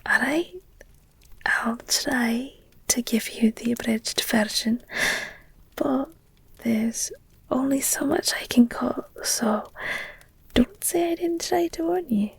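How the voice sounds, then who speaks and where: A very breathy, husky whisper with a crackle of fry, unhurried and close in feel. This is an affectionate werewolf girlfriend, played by a woman, soothing her lover in an ASMR roleplay. She is candid and hesitant, slipping in gently teasing, wry asides. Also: fairly high pitch, dry recording, full character voice. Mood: tender, teasing. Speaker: audio roleplay voice performer.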